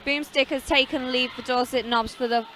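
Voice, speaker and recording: Woman play-by-play caller, bright and forceful, slightly high, faint ambient hiss. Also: steady tone. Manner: brisk play-by-play calls, dry humour. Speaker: roller derby commentator. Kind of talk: casual live sports commentary.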